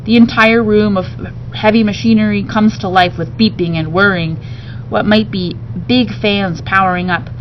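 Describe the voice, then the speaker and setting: A woman's voice: medium pitch, steady tone, normal speed. Muffled woman reading a story aloud. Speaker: teacher reading a book aloud. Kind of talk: expressive read-aloud narration of a children's novel. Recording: muffled home recording, faint background noise.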